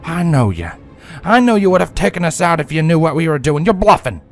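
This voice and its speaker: A man's voice: high voice, very animated, brisk, darkish tone, husky, soft background music, voice pushed up, heavily acted. A man voicing a blunt young female farm pony who talks with folksy country grammar. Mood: angry.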